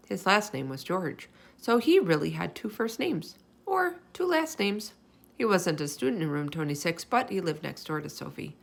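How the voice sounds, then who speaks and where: A woman reading a children's chapter book aloud in an expressive storytelling style. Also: lowish voice, creaky. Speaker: storybook reader. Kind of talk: children's read-aloud, expressive scripted narration.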